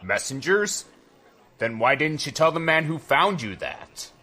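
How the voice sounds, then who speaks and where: A man playing a commanding, weary but fair army general in an audio drama, measured and authoritative. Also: on the high side, very animated, sharp tone, full character voice. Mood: weary, skeptical. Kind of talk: scripted audio drama acting.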